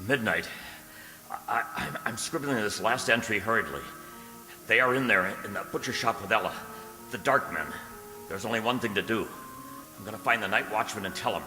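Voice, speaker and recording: A man's voice: fairly low pitch, a little fast, pauses often, natural voice. A man acting an anxious, lovelorn man in a radio drama, earnest and pleading, with hesitant, stammering speech. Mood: desperate. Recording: long hall reverb, music underneath.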